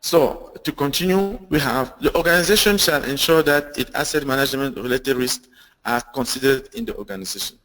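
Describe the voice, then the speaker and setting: A man's voice: mid-range voice, brisk, a bit bright, rough recording. Earnest man. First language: French. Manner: earnest, with repeated fillers, restarts and rhetorical questions. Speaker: webinar presenter. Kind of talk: webinar presentation on security standards.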